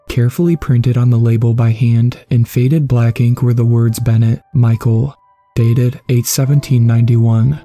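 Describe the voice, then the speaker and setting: Very dark-voiced man narrating horror. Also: mid-range voice. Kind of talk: scripted first-person creepypasta narration. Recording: background music under the voice. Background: music.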